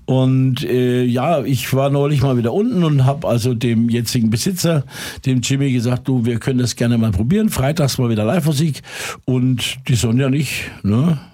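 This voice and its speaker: A middle-aged man from Franconia, a musician and former club owner, telling lively anecdotes in a radio interview. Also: mid-range voice, normal speed, husky.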